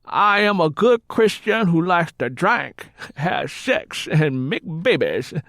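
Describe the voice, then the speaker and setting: Audiobook role: loud, irreverent Southern husband, raised pitch, folksy drawl, fond of mocking and drink. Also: male voice, very animated, takes it slowly, no room sound, studio quality, heavily acted. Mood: mocking, gleeful. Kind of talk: audiobook character acting.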